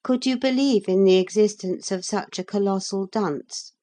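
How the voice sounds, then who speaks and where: A woman's voice: medium pitch, normal speed, dark tone, pitched above the performer's own voice, natural voice. A woman voicing a spiteful, sickly teenage boy in an audiobook, with a sneering, taunting manner.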